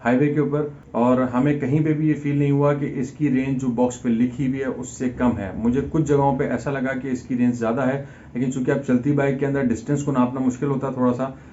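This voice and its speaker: A man's voice: medium pitch, dark tone. Man explaining in dull, lo-fi audio. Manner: conversational, mixes English terms into speech. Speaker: product reviewer, host. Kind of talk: unboxing and review talk, explanatory. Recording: dull lo-fi sound, faint background noise.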